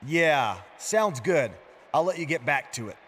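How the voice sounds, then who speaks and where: A touchy veteran male wrestler in a video game cutscene. The voice is slightly high and sarcastic, and it rings with long arena reverb. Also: lively intonation, measured pace, a bit bright, slightly rough, heavily acted.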